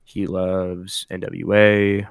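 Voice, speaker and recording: A man's voice: low-pitched, flat delivery, extremely slow, creaky, no room sound, clean studio sound. A young man in his twenties from Southern California co-hosting a podcast, rambling casually with slang and fillers. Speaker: podcast co-host. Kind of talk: casual chat.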